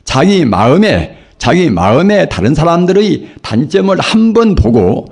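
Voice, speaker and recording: An older man, a Buddhist monk, giving a sermon in a hoarse voice from a cold, preaching slowly and earnestly with rhetorical questions. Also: on the high side, big pitch swings, darkish tone. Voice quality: gravelly, husky. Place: temple dharma hall.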